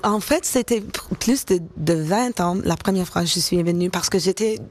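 A woman in her thirties, a singer-songwriter from Toronto, speaking French with an English-speaking Canadian accent, pausing often to find her words in a radio interview. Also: fairly low pitch. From Canada, born in Toronto. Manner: hesitant, searching for words, many fillers.

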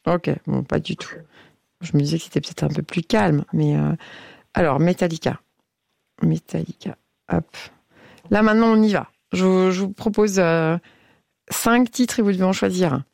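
A woman's voice: fairly low pitch, steady tone, normal speed, creaky, long pauses, a little mellow, no echo, clean studio sound. A woman hosting a late-night radio phone-in, chatting casually with a caller and laughing often as she stumbles over song titles. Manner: playful, laughs often, hesitant reading of titles.

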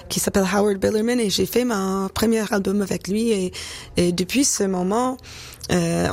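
A woman's voice: mid-range voice, vocal fry, darkish tone. A woman in her forties, a Canadian singer-songwriter whose first language is English, answering radio interview questions hesitantly with many fillers. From Canada, born in Toronto. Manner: hesitant, many fillers and restarts while searching for words.

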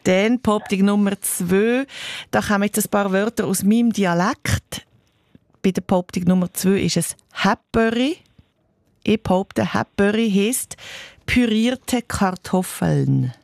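A woman's voice: mid-range voice, a little slow, vocal fry, darkish tone, no echo, clean studio sound. A woman with a Swiss German accent hosting a radio quiz, chatty and upbeat as she asks her guest questions.

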